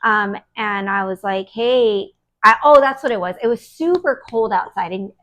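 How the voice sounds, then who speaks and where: A woman in her early thirties, a podcast guest, telling career stories in a lively, profane way and acting out what people said. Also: mid-range voice, moderate pace. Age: 30s. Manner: animated, profane, acts out quoted dialogue. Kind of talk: casual storytelling.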